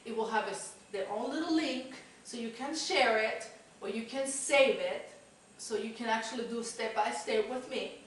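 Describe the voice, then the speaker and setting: An upbeat woman presents a cooking show in a chatty, casual way. Her voice sits a little high. She is recorded at a distance in a kitchen that echoes heavily. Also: normal speed, a little hiss or hum. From Mexico. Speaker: cooking show host, chef. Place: home kitchen, indoors. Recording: very echoey room, distant camera mic.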